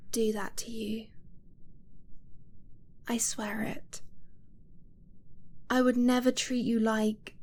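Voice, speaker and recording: Woman doing a soft devoted dragon girl gf asmr, whispery and hesitant, really slow, kinda high voice. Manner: breathy, hushed, slow, hesitant confessions with soft pauses. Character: affectionate young dragonblooded woman, possessive yet tender girlfriend. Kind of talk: intimate ASMR romance roleplay.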